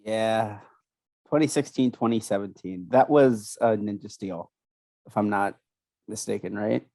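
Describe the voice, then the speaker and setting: A young man co-hosting a casual chat, rambling and halting with many restarts, still sniffly from a cold. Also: lowish voice, dark tone, dry recording. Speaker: podcast co-host.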